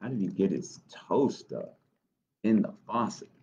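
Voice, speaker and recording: A man in his thirties reads a picture book to children in a fairly low voice that swings widely in pitch. He is encouraging and stops to chat with his young listeners. The webcam audio is slightly dull. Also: big pitch swings, unhurried, a little mellow, a little hiss or hum. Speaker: children's librarian, storytime host. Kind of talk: reading a picture book aloud to children with asides. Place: small office, indoors.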